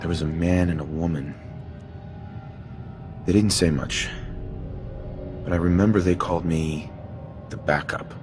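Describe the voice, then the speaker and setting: A very deep voice for a troubled father in a video game. He sounds earnest and grave, a man searching for his child, with music clearly behind him. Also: fairly even intonation, measured pace, pauses often.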